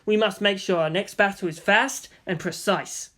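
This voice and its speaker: A narrator performs a teenage boy for a fan fiction audiobook. He is earnest and submissive, speaking politely and with quiet restraint. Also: mid-range voice, normal speed, close to an everyday voice.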